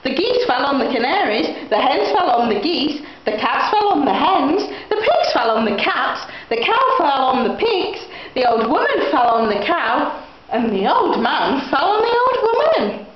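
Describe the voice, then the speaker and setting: A woman's voice: sits high, big pitch swings, normal speed. A young woman reading a children's story aloud expressively, in the manner of a student narrator. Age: young adult. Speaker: student reader. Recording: echoey, muffled room recording.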